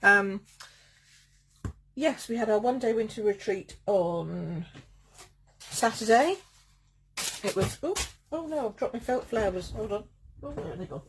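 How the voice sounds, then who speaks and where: A woman with a croaky voice from a cold, chatting through a live card-making demonstration and greeting viewers by name. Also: mid-range voice, slight background noise, rough recording.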